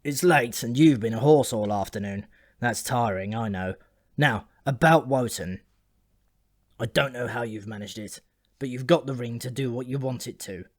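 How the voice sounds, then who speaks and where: A man's voice: mid-range voice, moderate pace, no room sound, studio quality, natural voice. A man voicing an ancient, tipsy sulphur dwarf in an audiobook, grumbling and rambling in a gloomy, grudgingly friendly manner. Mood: confiding, warning.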